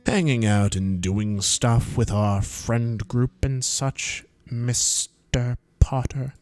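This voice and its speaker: A man's voice: mid-range voice, slow pace, a little mellow, no room sound, soft background music, lightly acted. A man voicing a sneering older male wizard teacher while reading game narration, drawling out mocking titles.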